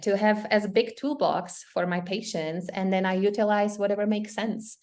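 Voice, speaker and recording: A woman's voice: mid-range voice, steady tone, moderate pace, a little mellow. A middle-aged woman from the Czech Republic with a Czech accent, a doctor explaining her practice earnestly in an interview. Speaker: guest doctor. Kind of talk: interview conversation.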